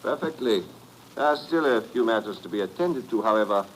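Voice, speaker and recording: An actor playing a suave, calculating crime boss in an old radio drama, smooth, measured and formally courteous. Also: male voice, fairly low pitch, expressive, close to an everyday voice. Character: suave, calculating male European criminal boss. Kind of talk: 1950s radio drama acting. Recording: noisy old radio recording.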